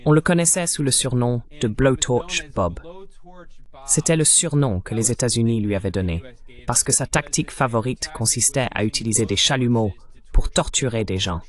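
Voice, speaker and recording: A man with a mid-pitched voice speaks as an interviewed commentator in a dubbed programme. His delivery is measured and didactic, with emphasis placed on key points.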